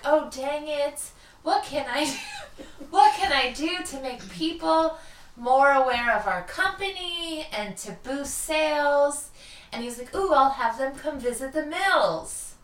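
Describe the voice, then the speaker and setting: Woman podcast host chatting casually, bright voice, roomy echo, kinda far from the mic. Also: fairly high pitch. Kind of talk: casual chatty storytelling about local history.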